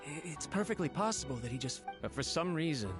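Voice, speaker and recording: Guy doing a nervous young servant in a game, highish voice, stammery and apologetic, music playing behind. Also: expressive, quick pace, close to an everyday voice. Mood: worried, deferential. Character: anxious, humble young male servant, deferential and loyal. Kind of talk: video game voice acting.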